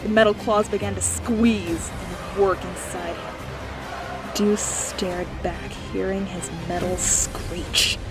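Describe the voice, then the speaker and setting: A woman narrating a dieselpunk noir audiobook with steady, clear storytelling. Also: mid-range voice, takes it slowly, over loud music, low-quality recording. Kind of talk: scripted narration.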